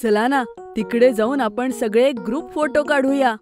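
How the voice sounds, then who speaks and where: A woman voicing a lively, excitable young boy in a cartoon, talking in quick exclamations. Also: fairly high pitch, soft background music, lightly acted. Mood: excited.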